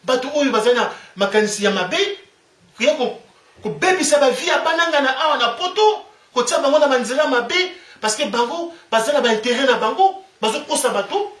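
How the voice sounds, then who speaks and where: A Congolese man with a Congolese French accent delivers a fervent, preachy monologue, hammering his points home by repeating them. His voice sits high for a man. He sounds set back from the mic in a room full of echo. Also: lively intonation, fairly quick, a bit bright, far from the mic. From Republic of the Congo. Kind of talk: impassioned monologue. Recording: echoey room, away from the mic.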